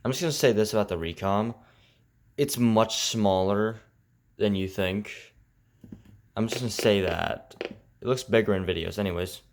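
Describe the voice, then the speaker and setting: A man's voice: lowish voice, normal speed, gravelly, pauses often. A man chatting casually and unscripted as he hosts a homemade video demonstrating gadgets. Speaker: video host demonstrating weather radios. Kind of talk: casual unscripted vlog chat. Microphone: headset. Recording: heavy background noise, clicking.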